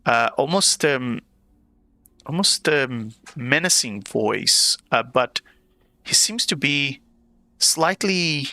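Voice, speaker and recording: A man runs a tabletop game as game master, his voice bright and close with a dry sound. He describes the scene slowly and hesitantly, with many fillers. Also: mid-range voice, animated, no room sound.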